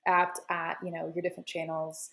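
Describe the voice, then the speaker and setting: A woman gives confident advice as an interview guest, leaning on "you know" fillers. Her voice is low and held in a flat, even tone. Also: brisk, creaky. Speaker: guest, crypto media founder. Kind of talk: casual interview answers.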